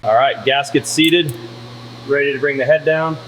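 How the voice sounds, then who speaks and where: A man's voice: mid-range voice, steady tone, normal speed, fairly bright tone, soft background music, rough recording. A man working as a car restoration mechanic, calling out each step in short, clipped, procedural phrases. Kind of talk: short step-by-step workshop commentary.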